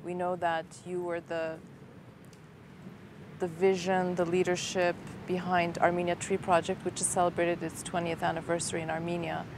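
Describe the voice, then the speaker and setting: A middle-aged woman hosting a television interview in a park, asking measured questions. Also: lowish voice, level tone, noisy background. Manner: measured, warm-up questions with small pauses. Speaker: interview host. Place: outdoors in a city park.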